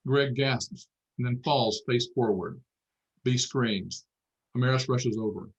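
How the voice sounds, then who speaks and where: A man serves as narrator at a screenplay table read. He reads out stage directions in a matter-of-fact way, with a medium-pitched voice. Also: takes it slowly, low-quality recording.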